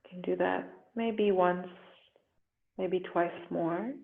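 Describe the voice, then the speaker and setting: A woman yoga instructor leading a guided relaxation meditation in a gentle, soothing, unhurried way with long pauses. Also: fairly low pitch, steady tone, vocal fry, slight background noise. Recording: roomy echo, muffled phone-like sound.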